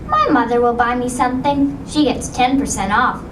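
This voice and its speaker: A woman's voice: a little high, normal speed, distant mic, noisy background, close to an everyday voice. A woman playing a skeptical, matter-of-fact little girl in a theatrical puppet musical. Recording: echoey theater from afar, music behind.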